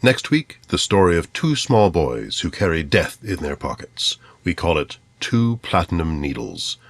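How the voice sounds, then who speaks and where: A man's voice: low voice, very animated, unhurried, dry recording. A man giving a formal, dramatic, scripted announcement in the style of a 1950s radio thriller. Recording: loud brass music behind voice. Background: loud orchestral brass music.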